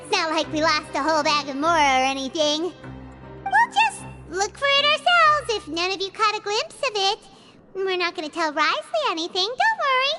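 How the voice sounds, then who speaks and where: A woman voicing a tiny floating female companion creature who is chatty and excitable, with a high squeaky voice and a habit of speaking of herself in the third person. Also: normal speed. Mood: reassuring. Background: game music.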